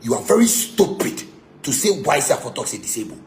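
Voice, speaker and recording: A man's voice: medium pitch, sing-song, a little fast, a little mellow. A man with a Ghanaian accent delivering an angry, confrontational rant in Pidgin and broken English, repeating himself and throwing insults. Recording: echoey room with background noise.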